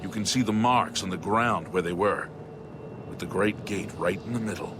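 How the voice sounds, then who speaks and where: An actor playing a weary but determined city guard captain in a fantasy video game, commanding and exasperated. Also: male voice, on the low side, moderate pace, background noise, lightly acted. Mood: grim resolve.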